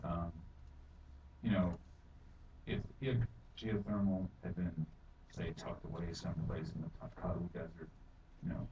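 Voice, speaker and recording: A middle-aged man giving rambling public testimony at a council hearing, full of fillers and growing forceful. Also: sits low. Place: county council chamber. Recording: echoey hall from far off, dull and noisy.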